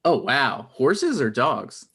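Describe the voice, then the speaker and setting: A man's voice: mid-range voice, very animated, takes it slowly, a bit bright, breathy edge, heavily acted. A man playing a neurotic, self-absorbed playwright, conversational and rambling, in a comedy table read. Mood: casual, apologetic.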